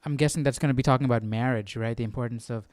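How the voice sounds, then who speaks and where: Smooth-voiced man chatting casually, close and dry. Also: mid-range voice, fairly even intonation, very fast, a little mellow, dry recording. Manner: informal, musing, repeats himself. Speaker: host of a Bible study livestream. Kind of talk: casual chat, thinking aloud.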